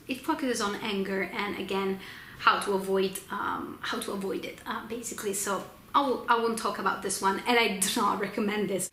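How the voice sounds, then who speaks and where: Chatty Italian-accented woman, bright voice. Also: fairly high pitch. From Italy. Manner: chatty, hesitant with many ums and restarts. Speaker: book channel host. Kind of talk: casual book wrap-up vlog. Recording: echoey room with faint noise.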